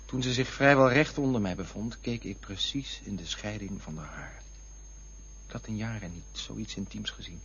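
An actor playing a defensive, wistful man in a Dutch radio play, touchy and drifting into lyrical memories, with a husky voice. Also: on the low side, normal speed, a bit bright, full character voice. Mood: wistful reminiscing. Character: defensive, wistful man looking back on lost loves. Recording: dull, lo-fi radio play recording.

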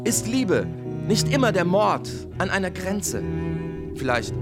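Radio drama: bitter, declamatory questioner, unhurried, widely swooping delivery over prominent music. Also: male voice, high-pitched, very animated, heavily acted. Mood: impassioned, bitter. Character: impassioned male speaker posing bitter rhetorical questions. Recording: voice under loud music. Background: loud music with guitar.

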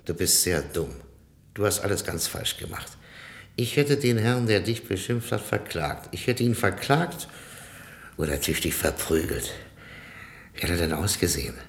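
An actor in a 1960s German radio play playing a brash, scheming, know-it-all jailbird who talks in rough colloquial style. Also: male voice, low-pitched, expressive, moderate pace. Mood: scornful, scheming. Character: cocky, streetwise male jailbird, rough and scheming. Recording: roomy, echoing 1960s radio recording.

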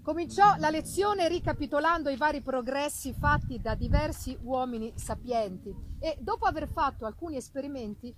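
A woman giving a theatrical first-person reading of a Gothic novel, with deliberate phrasing and dramatic pauses. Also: sits high, noisy background. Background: live music accompaniment.

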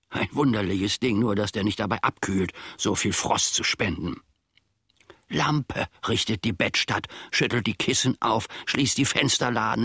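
Gravelly, aggrieved old manservant. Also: male voice, fairly low pitch, pushed and bright, no room sound, studio quality, heavily acted. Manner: pompous, grumbling, ruminative. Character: aggrieved, self-important old manservant musing about his master. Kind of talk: German radio play monologue.

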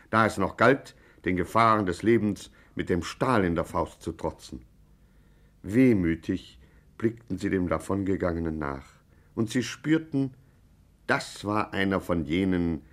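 An actor as the wry narrator, telling a mock-heroic gangster tale with solemn deliberation in a 1950s German radio play. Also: male voice, deep voice.

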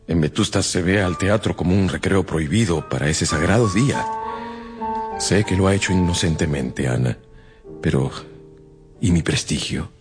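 Man acting as manipulative priest, extremely low voice, slow solemn delivery, gravelly, radio drama, music behind. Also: close to an everyday voice. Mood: reproachful, solemn. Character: stern, possessive priest, manipulative confessor.

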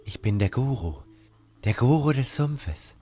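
A man's voice: medium pitch, expressive, measured pace, dark tone, husky, soft background music. A man playing a dazed swamp cultist in a fantasy audio drama, mumbling as if he were a great guru. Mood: dazed, muttering. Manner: mumbling, repetitive. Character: dazed, muttering swamp cultist who thinks himself a guru.

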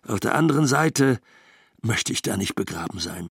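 A man's voice: on the low side, very animated, normal speed, gravelly, breathy edge, no room sound, clean studio sound, exaggerated voice. A man playing an earthy, weary expatriate husband in a radio documentary play, talking bluntly and colloquially. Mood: weary, wistful.

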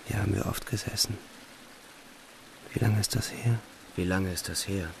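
An actor playing a weary, haunted young soldier, narrating a radio play in brooding, restrained short sentences. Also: male voice, sits low, fairly even intonation, moderate pace, creaky, noisy background. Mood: wistful. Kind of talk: literary radio play narration, inner monologue.

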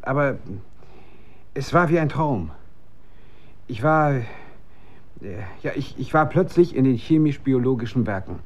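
An actor plays a male researcher in a German radio drama. He is driven and quick to defend himself, with a voice of ordinary male pitch. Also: animated, darkish tone, muffled recording, lightly acted. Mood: bewildered, defensive.

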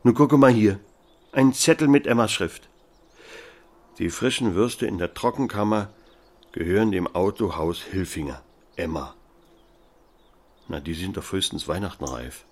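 A blustering village policeman in a radio play. His voice is low, and he talks bluntly and officiously. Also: a little slow, pauses often, darkish tone. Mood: firm, decided. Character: blustering village policeman, grown son bossing his mother. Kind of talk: radio drama acting.

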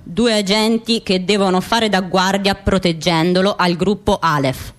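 An actress playing a stern secret agent recounting missions in measured, declarative radio theatre narration. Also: female voice, medium pitch, steady tone, lightly acted. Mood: factual.

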